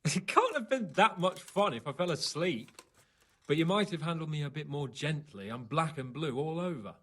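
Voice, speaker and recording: A man's voice: on the high side, expressive, moderate pace, a bit bright, slightly rough. A man playing a playful, haughty young nobleman in a medieval video game, with a theatrical, teasing manner. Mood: amused.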